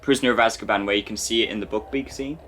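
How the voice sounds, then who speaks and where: A man's voice: on the low side, fairly even intonation, racing, noisy background. A man in casual, jokey, sweary commentary chat over a film, its soundtrack playing behind him. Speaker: fan dub cast member commenting on a film. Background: film music and dialogue.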